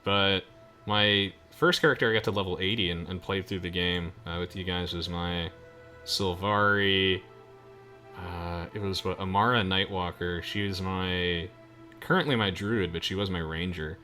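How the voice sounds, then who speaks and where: A man's voice: low-pitched, steady tone, normal speed, pushed and bright. A young man hosting a let's play, chatting casually with friends as he comments on the game. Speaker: host of a let's play video. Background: game music.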